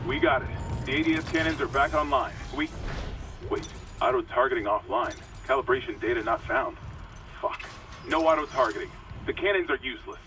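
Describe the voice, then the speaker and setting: A man playing a gruff, frustrated starship commander in a sci-fi game. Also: medium pitch, brisk, sharp tone, lightly acted. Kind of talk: dramatic sci-fi game acting. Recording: distant, music underneath.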